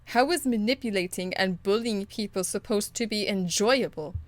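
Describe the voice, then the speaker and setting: A woman's voice: mid-range voice, moderate pace, close to an everyday voice. Woman playing a stern, blunt warrior woman. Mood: dry, blunt. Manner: curt, earnest, formal. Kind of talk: video game dialogue acting.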